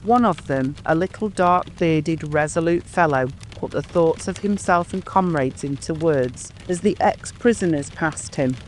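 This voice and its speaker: A man reading scripted literary narration as an audiobook storyteller in a measured manner. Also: on the high side, low-quality recording. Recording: clear background ambience noise.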